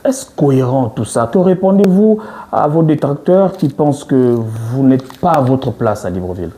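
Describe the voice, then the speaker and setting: A man's voice: mid-range voice, animated, normal speed, mellow. Insistent male TV interviewer. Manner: probing, insistent questioning. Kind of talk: political TV interview.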